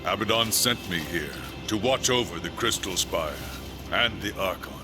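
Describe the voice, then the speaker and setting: A steadfast angelic guardian in a fantasy game, voiced by a man. His voice is low and his speech formal and earnest, like a seasoned soldier, with cinematic music clearly behind it. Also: normal speed, lightly acted. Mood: grave, steadfast. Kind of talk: dramatic game cutscene acting.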